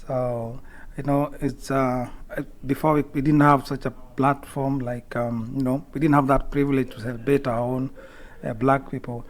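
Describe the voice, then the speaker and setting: Man, Kenyan accent, mid-range voice, interview guest, hesitant phrasing, frequent pauses. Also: medium pitch, flat delivery, rough recording. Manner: hesitant, halting delivery with many pauses. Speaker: interview guest, community leader. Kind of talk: unscripted radio interview answers.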